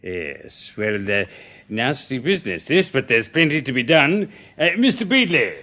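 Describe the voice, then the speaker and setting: An officious military record-keeper in a radio drama. His voice is bright and sharp, swinging widely in pitch, with a clipped, bureaucratic politeness. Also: sing-song, measured pace. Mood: fussy, brisk. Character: fussy, officious, polite male colonel keeping records.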